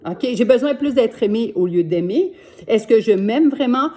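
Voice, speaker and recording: Québécois woman coach, sixties, mellow warm tone, earnest and repetitive. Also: a little high, animated, moderate pace. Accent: Québécois French. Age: 60s. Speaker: life coach. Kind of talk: motivational self-help talk to camera. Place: home.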